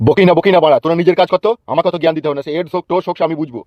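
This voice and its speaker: A man's voice: a little high, racing, dry recording, highs cut off, lightly acted. A man voicing an arrogant, short-tempered village politician in a comic cartoon, blunt and scolding, with a West Bengal accent. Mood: irritated. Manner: self-important, scolding, blunt.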